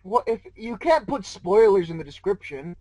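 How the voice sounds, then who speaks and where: A man giving casual, expressive commentary as a co-host reacting to a story. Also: sits high, normal speed, no room sound, rough recording.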